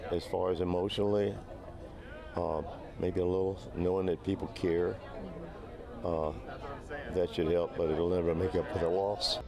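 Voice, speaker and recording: Low-voiced man amid crowd bustle. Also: little pitch movement, normal speed, long pauses. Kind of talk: unscripted interview soundbite. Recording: outdoor urban crowd and traffic.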